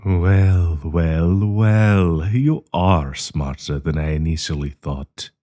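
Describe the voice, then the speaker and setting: A man acting a deep, courtly, sinister old professor. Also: warm tone. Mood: sly, menacing. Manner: courtly, teasing, chuckling, deliberate. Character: kindly old male professor hiding a predatory, possessive menace. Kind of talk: intimate audio roleplay acting.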